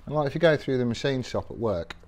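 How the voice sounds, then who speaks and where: A man's voice: medium pitch, lively intonation, fast talker, darkish tone. A middle-aged man casually explaining his tool care while working in a garage workshop, rambling and matter-of-fact with many ums. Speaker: workshop vlogger.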